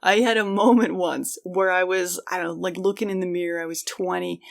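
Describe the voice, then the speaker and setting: A woman's voice: fairly low pitch, fairly even intonation, brisk, vocal fry. Encouraging woman chatting earnestly. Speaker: podcast co-host. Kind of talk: casual reflective conversation.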